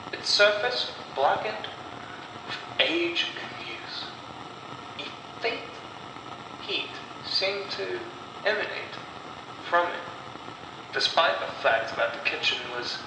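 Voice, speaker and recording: A man storyteller narrating a scripted horror story in a deliberate way, with dramatic pauses. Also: fairly high pitch. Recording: echoey, distant voice with clear noise.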